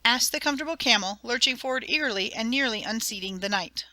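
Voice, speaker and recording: A woman reading a children's audiobook as narrator, in an expressive, dramatic and clearly enunciated storytelling style. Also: fairly high pitch, fast, dry recording.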